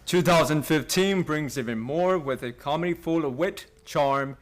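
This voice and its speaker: A man's voice: a little high, normal speed. A man reading a scripted, conversational advertisement for a theater season. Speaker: promo announcer.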